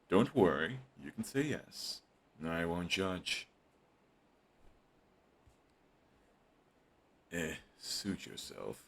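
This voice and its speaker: A man playing a husky, sarcastic villain. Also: deep voice, a bit bright, full character voice. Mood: mocking. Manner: sarcastic, dismissive. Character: snarky, grumpy male supervillain, middle-aged. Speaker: voice actor. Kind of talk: audio roleplay drama.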